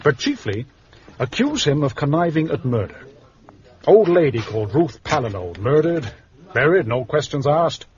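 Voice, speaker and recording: A keen detective inspector in a radio drama. He reports in clipped, slangy bursts with animated pitch, on a slightly muffled recording. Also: moderate pace. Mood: brisk, businesslike.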